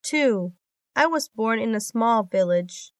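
A woman reading scripted English lesson sentences for a listening test, in a measured, carefully enunciated manner. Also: a little high, expressive, dry recording, clean studio sound. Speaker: exam listening test reader.

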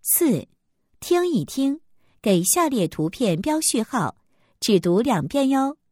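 A woman's voice: a little high, big pitch swings, slow, mellow, no echo. A woman reading test instructions in a lilting classroom tone, recorded dry and close.

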